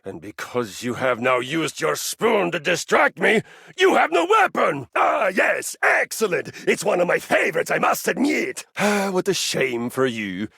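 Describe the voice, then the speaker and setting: Game role: boastful battle-crazed Viking, slow, raspy and booming. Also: male voice, huge pitch swings, no echo, studio quality, exaggerated voice. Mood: gleeful. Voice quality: gravelly, booming. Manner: swaggering, theatrical, shouting bursts. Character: boastful brawny Viking warrior man, battle-hungry. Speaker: voice actor. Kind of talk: video game voice acting. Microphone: close studio mic.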